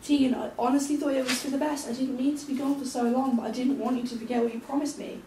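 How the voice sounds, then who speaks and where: A woman's voice: high-pitched, steady tone, fast, lightly acted. A woman reading the part of a caring, teasing young friend at a drama table read, recorded at a distance in an echoey, noisy room. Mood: apologetic.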